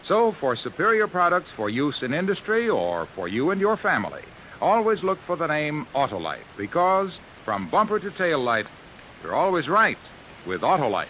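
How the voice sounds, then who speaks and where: A man's voice: fairly high pitch, huge pitch swings, normal speed, a bit bright, poor audio. An American man reading 1950s radio advertising as a polished, emphatic commercial announcer. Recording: hissy old radio recording.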